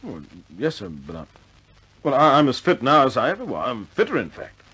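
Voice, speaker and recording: An actor playing a proud young RAF officer in a 1950s British war film, with a clipped British accent and an earnest, stammering, respectful way of speaking. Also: male voice, medium pitch, huge pitch swings, a little fast, sharp tone, breathy edge, heavily acted. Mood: pleading, indignant.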